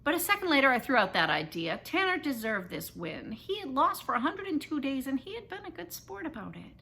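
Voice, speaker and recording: A woman with a fairly high, very bright voice reads a middle grade story aloud. Her delivery is animated and expressive, told in the first person like dramatic storytelling for children. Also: fairly quick, gravelly. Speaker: author reading aloud.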